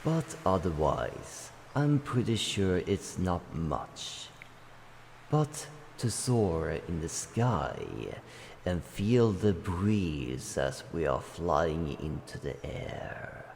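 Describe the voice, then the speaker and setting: A man voicing an ancient dragon guardian, solemn, calm and kindly, speaking slowly in formal, measured phrases in a roleplay addressed to the listener. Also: full character voice. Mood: calm, fond. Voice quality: deep, gravelly. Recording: long cavernous reverb, faint background noise.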